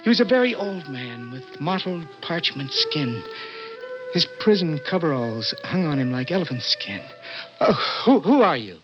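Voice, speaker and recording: A man's voice: fairly high pitch, very animated. A man playing a desperate young imprisoned poet in earnest, dramatic 1960s radio suspense style. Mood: grave narration, then startled, wary. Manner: intense, earnest, dramatic, halting when startled. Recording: dull old radio recording, prominent music.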